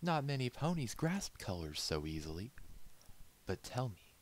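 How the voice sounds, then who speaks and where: A man's voice: medium pitch, very animated, a little slow, no echo, pitched above the performer's own voice. Man putting on a coy, refined lady pony. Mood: pleased, inviting.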